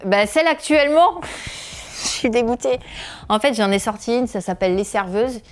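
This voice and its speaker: A woman's voice: fairly high pitch, normal speed, faint music behind. A woman in her thirties from northeastern France, a comedian answering interview questions, hesitant with many filler sounds. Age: 30s. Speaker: comedian and video creator.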